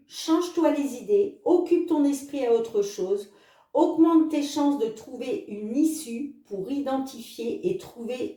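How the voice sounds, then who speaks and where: A woman's voice: a little high, mellow, far from the mic. Chatty woman reading cards in a roomy space. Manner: chatty, fills with "hein" and "les amis"; reads card messages slowly. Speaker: tarot card reader. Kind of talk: casual card reading to viewers.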